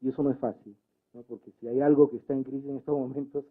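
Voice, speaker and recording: A man with a medium-pitched voice takes part in a spontaneous debate. He speaks reflectively and haltingly, often checking with short tag questions, over a narrow, phone-like recording. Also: little pitch movement, slightly rough. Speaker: debate participant working with community radios.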